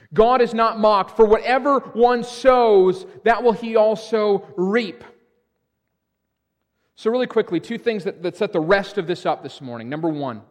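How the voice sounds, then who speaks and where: High-voiced man preaching in a reverberant hall. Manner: emphatic preaching with frequent restarts. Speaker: pastor. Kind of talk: sermon. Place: church sanctuary.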